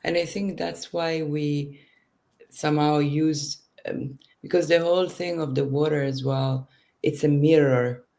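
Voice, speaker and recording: Gravelly-voiced woman guest, halting speech. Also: deep voice, steady tone, warm tone. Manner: halting, searching for words. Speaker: neuroscientist guest. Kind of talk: casual interview answers.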